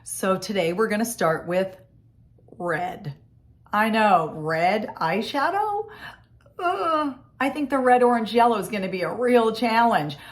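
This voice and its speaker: A woman's voice: medium pitch, lively intonation, measured pace. Scratchy-voiced woman recovering from a cold. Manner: chatty, friendly step-by-step explaining. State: hoarse from a cold. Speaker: makeup vlogger. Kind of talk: casual makeup tutorial. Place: home office.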